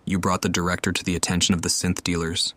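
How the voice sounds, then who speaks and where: A man giving offhand, musing gameplay commentary in a deadpan manner. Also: low voice, fast, no echo. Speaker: game streamer.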